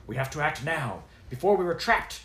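Man voicing a gruff storybook dwarf warrior-priest, curt and pushy, roomy sound. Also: fairly high pitch, big pitch swings, moderate pace, fairly bright tone, pitched above the performer's own voice. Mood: demanding. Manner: blunt, demanding. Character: gruff young male dwarf cleric, blunt and impatient.

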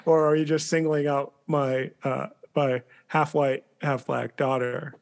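A man's voice: medium pitch, little pitch movement, measured pace, gravelly. A man co-hosting a podcast, chatting in an easygoing way with light laughter and casual fillers. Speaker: podcast co-host. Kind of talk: casual conversational chat.

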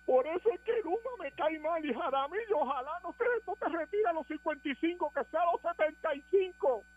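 A Puerto Rican man calling into a radio show, telling a joking story with lively delivery. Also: very high-pitched, brisk. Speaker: caller. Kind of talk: call-in radio chat. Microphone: phone. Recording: dull phone-line call with music.

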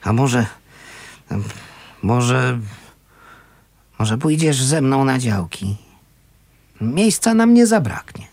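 Gravelly, slow-talking kindly down-and-out man. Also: mid-range voice, sing-song, no room sound, full character voice. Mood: teasing, reassuring. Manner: colloquial, gruff but gentle, wry. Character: kindly, scrappy homeless man who scavenges junk. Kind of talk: radio play acting.

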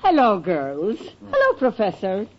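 A woman's voice: mid-range voice, huge pitch swings, a little slow, slight background noise. An actress playing a proud, huffy but kindly older landlady with theatrical fussiness in a 1940s radio comedy. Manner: indignant, fussy, theatrical. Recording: dull vintage radio recording.

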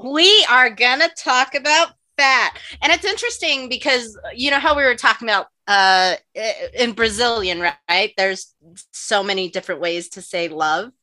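A woman's voice: a little high, normal speed, bright, forceful tone, dry recording. A woman co-hosting a podcast, chatting casually about nutrition with lots of "like" and "you know" and frequent laughter. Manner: laughs often. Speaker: podcast co-host.

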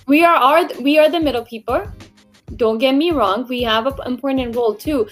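A woman's voice: sits high, fairly quick, soft background music. A woman recruiter co-hosting a livestream career discussion, talking in a blunt, emphatic, conversational way. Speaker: recruiter and show co-host.

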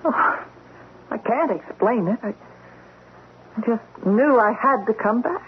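Young woman, earnest and strong-willed doctor, animated radio-play delivery, muffled noisy recording. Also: on the high side, measured pace. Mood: hesitant. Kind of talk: vintage radio drama acting.

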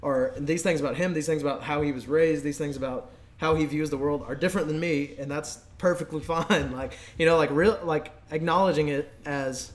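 A man's voice: on the high side, steady tone, brisk, hardly any pauses. A man co-hosting a podcast, talking casually and informally in an agreeable conversational way. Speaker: podcast co-host.

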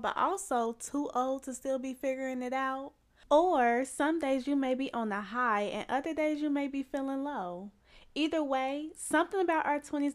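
Twenties woman, podcast host, high pitch, casual and curious interviewer. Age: 20s. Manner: chatty, asks follow-up questions, uses y'all.

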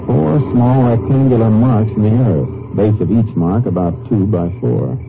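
A low-pitched lawman, terse and matter-of-fact, questioning and reasoning with brisk authority. It is 1950s radio detective acting on a dull, slightly hissy old recording. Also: creaky. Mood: brisk, probing. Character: brisk, observant Texas Ranger investigator.

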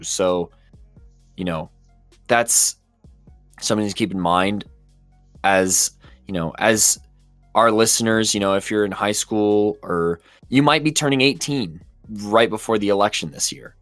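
A hoarse man with a very deep voice co-hosts a podcast. He speaks with passion and tries to persuade his listeners. The recording is dry, with faint music underneath. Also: a little slow, a bit bright, dry recording. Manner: impassioned, persuasive. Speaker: podcast co-host. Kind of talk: casual opinion talk.

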